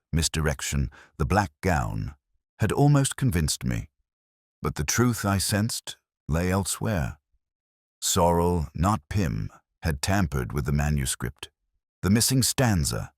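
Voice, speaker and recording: Really deep guy reading a detective story in first person, serious and measured, lots of rise and fall. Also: dry recording, clean studio sound. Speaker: narrator.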